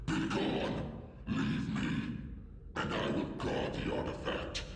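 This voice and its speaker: An actor playing a huge, proud ancient guardian monster in a video game cutscene, speaking in slow, grudging commands. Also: male voice, noisy background, close to an everyday voice. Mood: defiant.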